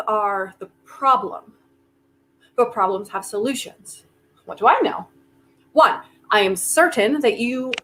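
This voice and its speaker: A woman acting in a staged play reading as a desperate, quick-witted, volatile woman who argues in a halting, emphatic way, thinking aloud. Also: on the high side, fairly bright tone, slight background noise, rough recording.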